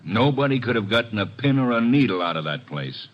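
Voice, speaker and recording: A gruff, husky police inspector from an old radio crime play. His low voice swings emphatically as he talks, blunt, stubborn and impatient. The sound is muffled like an old broadcast. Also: very animated, fairly quick. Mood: insistent. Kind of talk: old-time radio drama acting.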